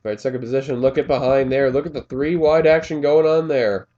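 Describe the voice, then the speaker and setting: A man's voice: medium pitch, steady tone, a little fast, warm tone, lo-fi sound. A man with a slightly scratchy voice doing casual play-by-play commentary of a race, rambling with fillers and correcting himself as he goes.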